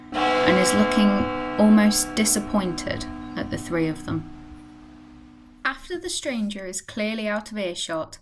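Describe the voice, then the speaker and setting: A woman with a fairly low voice narrates a radio play. Her delivery is scripted, describing the action as a storyteller.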